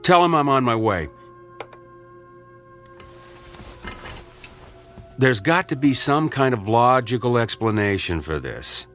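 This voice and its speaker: A man's voice: medium pitch, lively intonation, normal speed, a bit bright, soft background music, natural voice. An actor playing a skeptical, dryly sarcastic male clinic doctor in a radio drama. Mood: baffled. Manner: sardonic.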